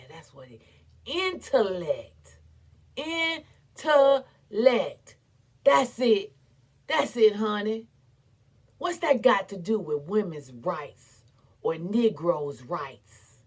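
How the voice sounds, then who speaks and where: A woman giving a dramatic, theatrical recitation as an impassioned, defiant, weary but proud orator, with emphatic repetition and long pauses. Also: fairly high pitch, breathy edge. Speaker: performer reciting a historical speech.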